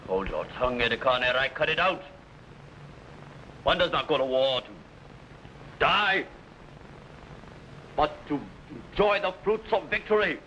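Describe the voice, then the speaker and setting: Big boastful barbarian warlord, forceful bright voice, drags out his threats slow. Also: fairly high pitch, expressive, a little hiss or hum, poor audio. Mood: menacing boast. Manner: grandiose, menacing, slow dramatic pauses. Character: boastful ruthless adult male barbarian warlord. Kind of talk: 1950s TV serial acting.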